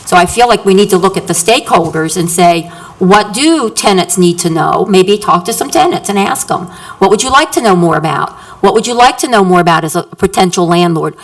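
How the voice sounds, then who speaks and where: A woman's voice: lowish voice, animated, fairly quick, rough recording. Earnest landlord woman at a council podium. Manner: earnest, emphatic, repeats points. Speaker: public commenter and landlord. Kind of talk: public comment at a council work session. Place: council chamber.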